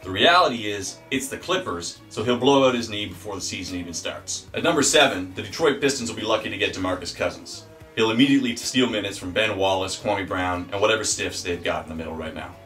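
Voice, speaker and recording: A man giving a wry, deadpan sports commentary, auditioning as a sportscaster. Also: fairly low pitch, quick pace, far from the mic, soft background music. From Canada. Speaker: aspiring sportscaster.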